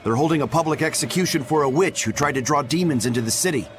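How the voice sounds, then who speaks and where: A man's voice: fairly high pitch, quick pace, background noise, close to an everyday voice. A man voicing a knowing informant in a video game, cautionary in his delivery. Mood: informative. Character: knowing male informant giving cautious warnings.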